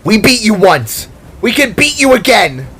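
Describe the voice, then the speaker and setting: A man voicing a fierce, confident heroine and protective mother in an audio story, exclaiming forcefully. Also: sits high, very animated, a little slow, slight background noise, pitched above the performer's own voice, heavily acted, poor audio. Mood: defiant confidence.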